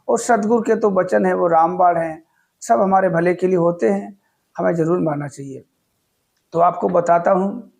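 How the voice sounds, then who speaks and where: A man's voice: high-pitched, creaky, a little mellow. A man with a sore throat telling an earnest, humble story in a casual devotional talk. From Uttar Pradesh, India. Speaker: devotional video host.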